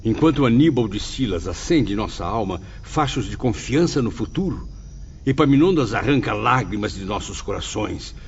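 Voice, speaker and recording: A man playing an anguished, confiding spirit student with a gravelly voice and formal, expressive speech in an audiobook drama. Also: fairly low pitch, normal speed, a little hiss or hum. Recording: distant mic, dull sound, faint ambience.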